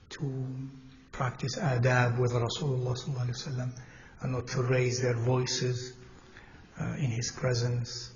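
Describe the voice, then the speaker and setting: A man teaching a congregation in a mosque, speaking in a measured, halting way with pauses and self-corrections, and putting questions to his listeners. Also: mid-range voice, a little mellow, highs cut off. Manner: asks the audience questions as he teaches. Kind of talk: Islamic lecture to a congregation. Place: mosque hall.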